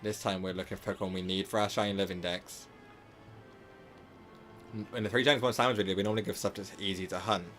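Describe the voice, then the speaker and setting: Hoarse low-voiced man streaming. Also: steady tone, quick pace, long pauses, a bit bright, soft background music. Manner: rambling, self-correcting, lots of filler words. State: sore throat, slightly losing voice. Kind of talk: casual live gameplay commentary.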